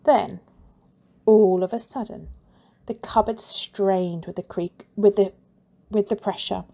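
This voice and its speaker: A woman teacher reads a children's storybook aloud at an unhurried, careful pace. Her voice sits at a middling pitch, and she adds playful sound effects and plenty of expression. The read-aloud is lively and clear for young listeners.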